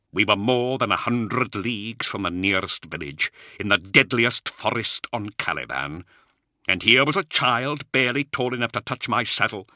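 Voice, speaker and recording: A man voicing an anguished, bitter knight commander sliding toward madness, impassioned and full of weighted pauses, in a dramatic audiobook. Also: fairly low pitch, bright, forceful tone, no room sound, clean studio sound, pitched above the performer's own voice, full character voice. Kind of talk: dramatic audiobook character acting.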